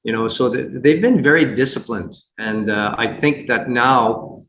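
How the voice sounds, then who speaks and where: A man giving unscripted investment commentary as a guest, speaking haltingly with frequent repeats and false starts. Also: mid-range voice, fairly bright tone. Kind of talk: unscripted earnings call commentary. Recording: roomy echo, faint background noise.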